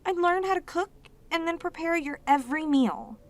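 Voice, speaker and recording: A woman playing a sweet, clingy demoness in a romantic audio roleplay, speaking tenderly and haltingly with intense devotion. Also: extremely high pitch, lively intonation. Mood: tender, pleading. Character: sweet, devoted, clingy yandere demoness, long sealed away.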